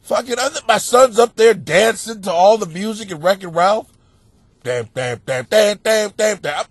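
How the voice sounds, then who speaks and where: A man with a blocked, stuffy nose rants like a comic on his own podcast, cursing freely and bursting into shouts. His voice sits high and leaps all over the place. The sound is close and dry, with no room around it. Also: normal speed, slightly rough, no echo. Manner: animated, swearing, bursts into shouting. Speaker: stand-up comic and podcast host. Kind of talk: profane comedic rant monologue.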